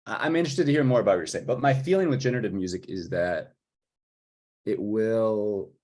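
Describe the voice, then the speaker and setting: A man talking informally about his work as a music composer, with a husky voice. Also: lowish voice, brisk, a little mellow. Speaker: music composer for audio fiction.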